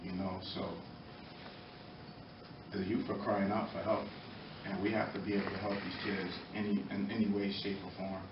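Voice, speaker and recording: A middle-aged American man giving earnest, halting, impromptu testimony at a public meeting, pausing between short phrases. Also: low voice, flat delivery. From Norwalk, Connecticut, United States. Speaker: former boxer speaking in support of a youth program. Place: indoor meeting room, far from the mic. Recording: echoey, muffled, distant, noisy room recording.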